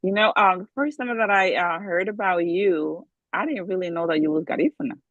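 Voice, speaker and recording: A woman's voice: lowish voice, brisk, fairly bright tone, no echo. A woman from the Bronx, hosting an interview in a friendly, easygoing way with lots of fillers. The recording is dry and a bit dull and lo-fi. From the Bronx, New York. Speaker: interview host. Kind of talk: casual interview chat.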